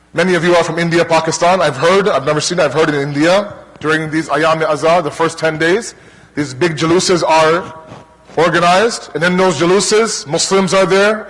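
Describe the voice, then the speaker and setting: A man from Toronto with a Canadian accent preaching to a congregation in an earnest, rhetorical manner, pausing between deliberate parallel phrases. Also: a little high, fairly bright tone. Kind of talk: religious lecture to a congregation. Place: large hall, speaking to a gathering. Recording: long hall reverb.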